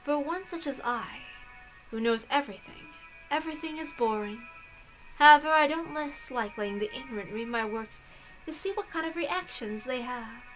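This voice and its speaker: Woman acting a smug, high-voiced witchy novelist. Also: fairly bright tone, faint music behind, rough recording. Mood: haughty. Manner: formal, measured, condescending.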